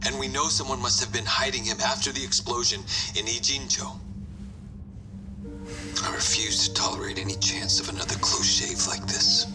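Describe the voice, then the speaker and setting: A man's voice: medium pitch, steady tone, normal speed, in a whisper, lightly acted. An actor playing a cold, ruthless man of power in a game drama, speaking with controlled menace. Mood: cold, guarded. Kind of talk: dramatic video game cutscene acting. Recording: very loud music behind.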